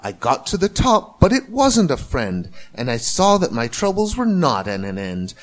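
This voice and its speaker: A man with a slightly high voice reads a rhyming storybook aloud. His delivery is theatrical and rhythmic, with very lively swings in pitch. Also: moderate pace. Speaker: amateur storybook reader. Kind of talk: expressive rhyming story narration.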